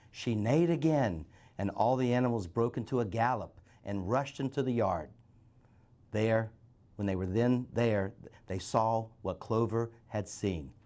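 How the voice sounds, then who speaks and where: A man's voice: mid-range voice, a little mellow. A man reading a story aloud as a guest reader, phrase by phrase, stumbling now and then.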